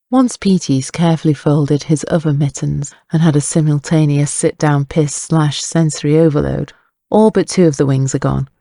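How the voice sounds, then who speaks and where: A man's voice: on the high side, vocal fry, warm tone, dry recording, studio quality. A man reading scripted dramatic narration for an audiobook, with a wry, wordy storytelling manner.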